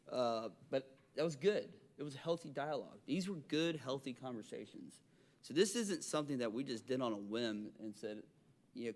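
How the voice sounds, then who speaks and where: Man with a Southern twang. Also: medium pitch. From Alabama. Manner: halting, many restarts and fillers. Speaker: city council member. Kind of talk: council meeting remarks. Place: council chamber.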